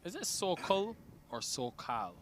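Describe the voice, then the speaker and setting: Man, cricket commentator, bright forceful tone, slightly high voice, energetic play-by-play. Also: normal speed, slight background noise.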